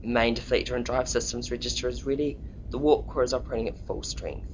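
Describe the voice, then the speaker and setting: A man's voice: level tone, fast, natural voice. A man playing a proud, eager chief engineer in a science-fiction audio drama, reporting with enthusiasm. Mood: proud, businesslike. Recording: dull track with faint rumbling noise.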